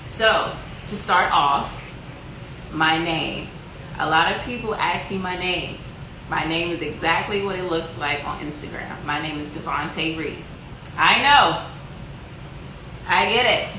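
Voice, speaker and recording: A woman's voice: on the low side, unhurried. A Southern woman in her twenties from North Carolina, chatting casually and upbeat as she answers her viewers' questions. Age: 20s. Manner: chatty, upbeat, talks straight to viewers with lots of fillers. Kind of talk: casual livestream-style Q&A. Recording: echoey room with noticeable background noise.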